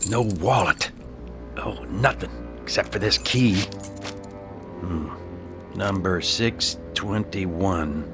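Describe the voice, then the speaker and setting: Cocky, low-voiced street tough. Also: male voice, heavily acted. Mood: greedy, intrigued. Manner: slangy, swaggering tough-guy talk. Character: cocky, scheming male street drifter, tough and insolent. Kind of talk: radio drama acting.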